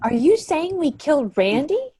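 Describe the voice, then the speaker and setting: A woman's voice: high-pitched, sing-song, moderate pace, dark tone, slight background noise. A woman in a staged play reading, playing a blunt, questioning office worker.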